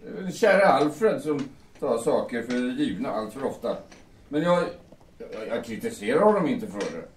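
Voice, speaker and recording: A man's voice: medium pitch, animated, natural voice. A man in an audio drama playing a besotted fiancé, defending his bride-to-be in a hesitant, placating, explanatory way. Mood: explaining. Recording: echoey room, distant, faint noise.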